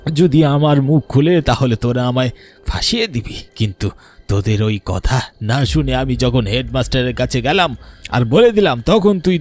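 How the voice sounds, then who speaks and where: A man's voice: mid-range voice, a little mellow, natural voice. A man voicing the vengeful ghost of a murdered village teacher, menacing and bitter in a cartoon. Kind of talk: cartoon voice acting.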